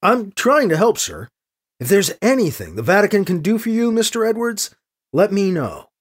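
A man's voice: sits high, sing-song, moderate pace, husky, voice pushed up, heavily acted. A man voicing a wry church agent priest in an audio drama, polite and probing with dry sarcasm. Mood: reassuring. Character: wry, smooth-talking male priest and church agent, deferential yet sarcastic.

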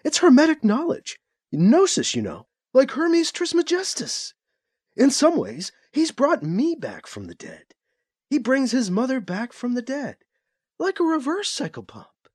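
A man putting on a distressed mother who rambles on fervently, her pitch leaping up and down. The voice sounds a little husky. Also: huge pitch swings, measured pace, dry recording, clean studio sound, heavily acted.

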